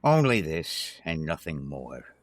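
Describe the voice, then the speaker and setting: A man's voice: very deep voice, very animated, slow pace, no room sound, full character voice. A man voicing a weary, haunted, courtly scholar in a dramatic poetry reading, formal and theatrical. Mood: uneasy, reassuring himself.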